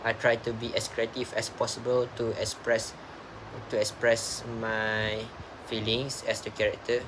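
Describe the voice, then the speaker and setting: A young man sharing a personal reflection in a class presentation, halting and repeating words. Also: lowish voice, little pitch movement, noisy background. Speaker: student presenter.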